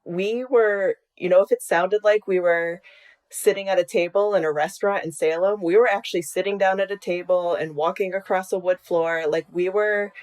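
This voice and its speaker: Female podcast creator being interviewed: conversational, on the lower side, recorded on a video call. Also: fairly even intonation, normal speed, darkish tone. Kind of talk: casual interview chat.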